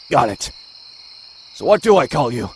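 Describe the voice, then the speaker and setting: A man's voice: on the high side, slight background noise, exaggerated voice. A man playing a cocky young antihero outlaw, sardonic and casual, in a comic-book drama with first-person narration. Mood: cocky, taunting.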